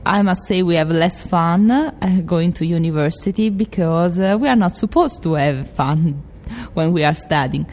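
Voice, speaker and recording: Brief: young Italian-accented woman, very low voice, halting self-introduction. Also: darkish tone. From Rome, Italy. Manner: halting, searching for words. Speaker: graduate student introducing herself.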